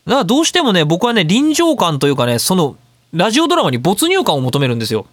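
A man's voice: high voice, wildly swooping pitch, quick pace, no echo, clean studio sound. A man hosting a radio talk show, casually and emphatically explaining things. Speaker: radio show host and sound director.

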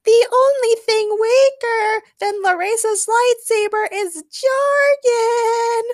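A woman voicing a gleeful robot that jeers insults in a drawn-out singsong for a fan story. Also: very high voice, dry recording, studio quality, voice pushed up. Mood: gleeful taunting. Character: gleeful taunting robot who delivers insulting zings.

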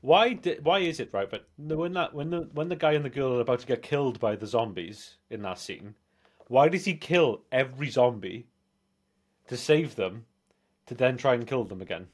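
A man in his forties co-hosts a loose film review chat. His voice sits at a middle pitch. He talks in an animated way, circling back over his words and checking in with "right?" as he builds a point. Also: normal speed, slightly rough. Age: 40s. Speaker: podcast host.

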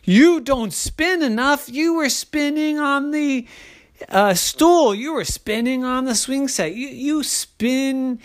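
An exasperated, easily flustered father in a children's story, acted out by a storyteller. He blusters and protests, with pitch that leaps up and down. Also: big pitch swings, unhurried, gravelly, a bit bright, no room sound, studio quality, exaggerated voice.

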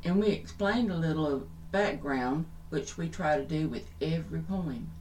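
A woman's voice: low voice, normal speed, a little mellow, slight background noise. A woman hosting a podcast, speaking its introduction and sign-off to listeners. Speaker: podcast host. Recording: voice distant from the mic.

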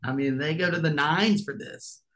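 A man's voice: on the high side, normal speed, fairly bright tone. Laid-back guy in his twenties, jokey podcast guest. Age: 20s. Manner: easygoing, joking, laughs often. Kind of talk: casual interview chat.

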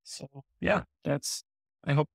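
A man, a podcast guest who answers in measured, short phrases. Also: no echo, clean studio sound. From Armenia. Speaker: guest, product lead. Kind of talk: podcast interview.

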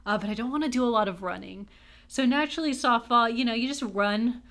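A woman's voice: fairly high pitch, fairly quick, fairly bright tone. A young woman from Texas co-hosting a podcast, chatty and upbeat, joking about herself and laughing as she talks. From Texas, USA. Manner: chatty, upbeat, self-mocking, laughs often. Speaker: podcast co-host. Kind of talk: casual podcast chat.

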